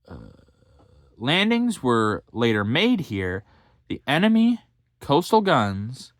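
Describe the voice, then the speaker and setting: A man reading a history book aloud, with halting reading, fillers and self-corrections, stopping now and then for casual comments. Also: mid-range voice, a bit bright, dry recording, clean studio sound. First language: English.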